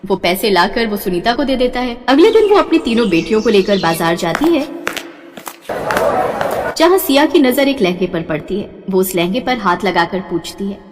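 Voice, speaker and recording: Woman narrating a kids story, very up and down, echoey, soft music underneath. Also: mid-range voice, normal speed. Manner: expressive, melodic. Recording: reverberant with soft background music.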